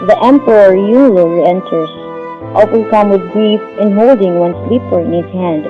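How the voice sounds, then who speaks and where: A woman's voice: medium pitch, moderate pace, dull audio, over music, lightly acted. A woman playing a solemn storyteller, giving scripted dramatic narration for an audio play.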